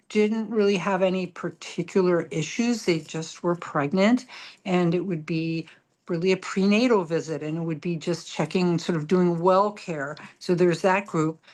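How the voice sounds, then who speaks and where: A woman's voice: lowish voice, darkish tone. A middle-aged woman surgeon speaking from home on an online panel, talking in a rambling, self-effacing way. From grew up in Tokyo and Singapore. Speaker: surgeon guest.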